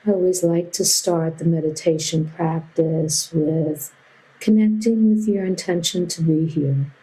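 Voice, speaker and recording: A woman teaching a guided meditation in a gentle, unhurried way with long pauses. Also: on the low side, fairly even intonation, rough recording.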